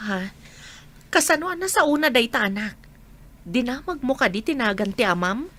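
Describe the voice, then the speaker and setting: A patient young mother who has been hurt , played by a woman, in an Ilocano radio drama. Her voice is fairly high and bright, and she speaks earnestly. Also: lively intonation, moderate pace.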